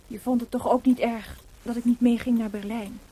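High-voiced, sharp young wife. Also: female voice, normal speed, husky. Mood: reproachful, jealous. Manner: pointed, questioning, expressive. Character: composer's sharp, sceptical, teasing young wife. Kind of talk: Dutch radio drama acting.

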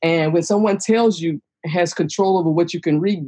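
A woman hosts a podcast in a candid, emphatic way, hammering her points home with repetition. Her voice has a husky, breathy grain. She sounds outspoken and firm. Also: fairly low pitch, normal speed, mellow. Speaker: podcast host. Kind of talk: candid conversational podcast talk.

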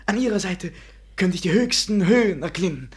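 An actor in a 1950s German radio play playing a vain, scheming young painter with grandiose, flowery speech. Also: male voice, sits high, lively intonation, fairly quick, slightly rough. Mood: ardent, theatrical. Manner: grandiose, flowery, self-important. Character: vain, scheming young male French painter, theatrically charming.

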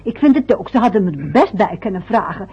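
A woman's voice: medium pitch, sing-song, brisk, warm tone, slightly rough. An actress in a radio play voicing a grown daughter who pleads with and pushes back against her father. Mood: pleading. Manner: pleading, indignant. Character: daughter defending her uncle, adult woman. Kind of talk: radio drama acting. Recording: dull lo-fi radio recording.

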